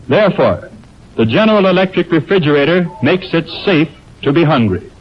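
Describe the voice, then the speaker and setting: Dull vintage recording, formal male radio announcer. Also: a little high. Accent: American. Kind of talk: 1920s scripted radio announcing. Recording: dull, lo-fi 1929 radio aircheck.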